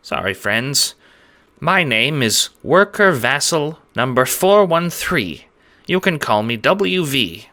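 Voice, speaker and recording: A man voicing a small, timid, squeaky-voiced shelled farmer creature in a read-aloud story. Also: very animated, slow pace, bright, forceful tone, heavily acted. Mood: polite.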